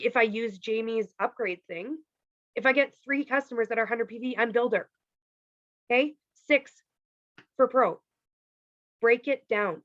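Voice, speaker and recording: A fairly high-voiced woman from Canada talks her team through a pay plan on a video call. Her voice is wearing thin and a little hoarse, and she keeps dropping in "like" and "okay". The audio is dry and muffled. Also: no echo. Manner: chatty, peppered with "like" and "okay". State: losing her voice. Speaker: team leader presenting a compensation plan. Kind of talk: casual presentation on a video call.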